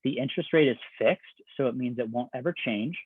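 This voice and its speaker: A man's voice: mid-range voice, fairly quick, slightly rough, no echo. Man explaining things over a tinny line. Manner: conversational, explains step by step with everyday examples. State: voice getting raspy. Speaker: admissions counselor. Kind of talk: informational presentation. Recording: dry, phone-like video call audio.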